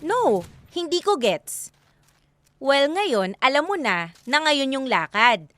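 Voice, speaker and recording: A demanding young Filipino girlfriend in a radio drama. Her voice is high and very animated, with a pointed, exasperated way of challenging her partner. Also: takes it slowly, heavily acted. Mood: irritated, confronting.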